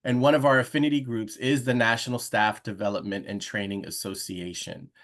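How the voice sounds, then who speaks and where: A man's voice: lowish voice, steady tone, brisk, fairly bright tone. A middle-aged man hosting an informal webinar over a video call. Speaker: webinar host. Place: indoor room, on a video call.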